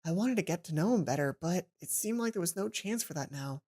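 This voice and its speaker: A woman's voice: on the low side, fairly quick. A woman hosting a let's play, reading a visual novel aloud expressively and adding casual, chatty commentary. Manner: expressive, acts out lines, then chatty asides. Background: game music.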